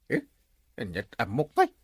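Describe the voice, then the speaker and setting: Lalaki nga agak-akting natikaw a kapitan ti barangay, agbaliwbaliw ti timek. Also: male voice, medium pitch, wildly swooping pitch, measured pace, slightly rough, no echo, studio quality, exaggerated voice. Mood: flustered, defensive. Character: flustered, grumbling male village captain in a comedy. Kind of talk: Ilocano comedy audio drama.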